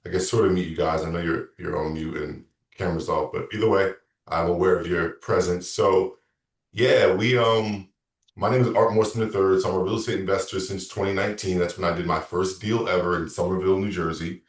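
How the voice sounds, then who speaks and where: A man's voice: low voice, a little fast, reverberant, recorded from a distance. A man in his thirties, a real estate coach, talking casually and rambling a little through a sales consultation on a video call. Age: 30s. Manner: relaxed, rambling, self-correcting.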